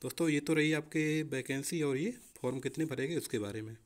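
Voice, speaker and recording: A man's voice: medium pitch, fairly quick. A young man explaining exam preparation informally as a coaching teacher, often addressing listeners as friends.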